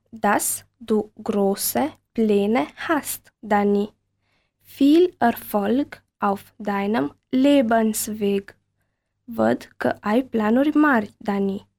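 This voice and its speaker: A woman reading a bilingual language-lesson dialogue and word list with careful, deliberate enunciation. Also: a little high, a little mellow.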